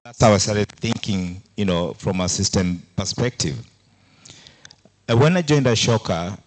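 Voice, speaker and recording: A man whose first language is Luo, speaking at a panel and telling reflective stories with pauses and fillers. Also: sits low, low-quality recording. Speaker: panelist from a social entrepreneurship organization. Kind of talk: panel talk to a live audience.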